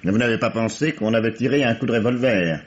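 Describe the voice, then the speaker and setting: An actor playing a shrewd, courteous police commissioner with gentle mockery, in a French radio mystery play. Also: male voice, mid-range voice, fast talker, lightly acted. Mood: patient, firm. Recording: muffled, noisy old radio recording.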